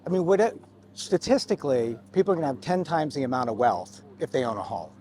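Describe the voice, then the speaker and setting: A man's voice: medium pitch, big pitch swings, fast talker, warm tone, slight background noise. A man in his fifties hosting a casual interview in an agreeable manner, often chiming in with short affirmations. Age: 50s. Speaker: podcast host.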